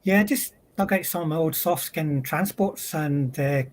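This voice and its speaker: A man with a husky voice chatting casually as a guest on a hobby livestream. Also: fairly high pitch, takes it slowly, slight background noise.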